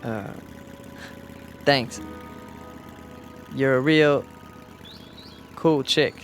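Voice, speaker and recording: A man playing an awkward young newcomer in a radio drama, halting and stilted as he fakes hip slang. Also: mid-range voice. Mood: earnest, stilted. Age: young adult. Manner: halting, stilted, swinging from professorial wording to beatnik slang. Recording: music bed and engine sounds behind.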